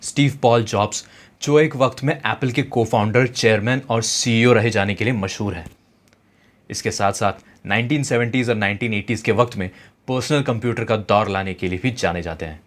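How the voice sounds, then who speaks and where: A man reading a scripted motivational story in a measured, storytelling way. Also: lowish voice. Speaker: narrator. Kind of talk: scripted motivational narration of a translated speech. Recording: echoey, long reverb, music behind. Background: background music.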